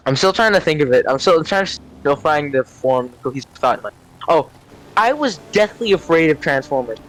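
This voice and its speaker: Higher-pitched young man rambling through casual stories. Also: animated, brisk, a bit bright, low-quality recording. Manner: rambling, searching for words, swearing freely. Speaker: storyteller on a gaming channel. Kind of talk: casual storytelling chat. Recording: clear vehicle-like background noise.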